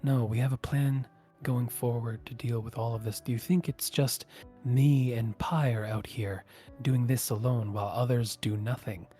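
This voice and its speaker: A man voicing a weary, stern immortal guardian in a fantasy tabletop game, speaking haltingly and solemnly. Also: mid-range voice, steady tone, warm tone, faint music behind, natural voice.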